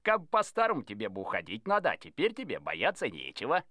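Wary fairy-tale grouse, voiced by an actor: mid-pitched male, very animated intonation, courteous, mock-innocent. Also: fast, no echo, studio quality, heavily acted. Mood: amused, teasing. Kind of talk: audio fable acting.

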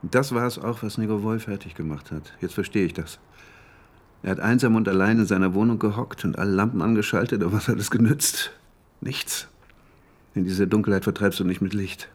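A man's voice: on the low side, fairly quick, lightly acted. A man playing a frightened, brooding astronomer with a sarcastic edge in a radio drama, speaking in an agitated, questioning way.

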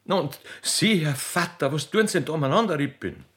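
A man's voice: fairly high pitch, lively intonation, a little fast, a bit bright. A man voicing a naive, impetuous young Austrian railwayman's daughter in a radio play, pleading in a melodramatic singsong. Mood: earnest. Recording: music under the voice.

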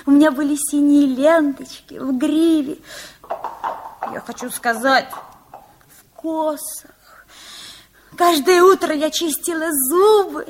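An actress in a Soviet radio play playing a kind, muddled old mare who was once a girl, speaking plaintively. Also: high voice. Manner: plaintive, muddles horse and human words. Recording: noisy 1960s radio recording.

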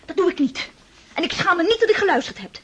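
A woman's voice: very high-pitched, expressive, fast. An actress playing an impassioned young daughter who defends her brother. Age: young adult. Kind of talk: radio drama acting.

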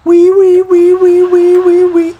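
A man's voice: extremely high pitch, completely flat delivery, takes it slowly, dark tone, pitched above the performer's own voice. A man voicing a nagging alarm gadget in a radio comedy, with mechanical, insistent repetition. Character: shrill, nagging alarm gadget that repeats itself.

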